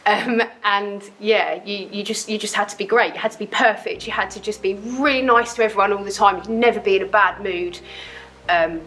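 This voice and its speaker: A woman giving an animated, self-deprecating personal baptism testimony, often repeating words. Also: mid-range voice, fairly quick, rough recording.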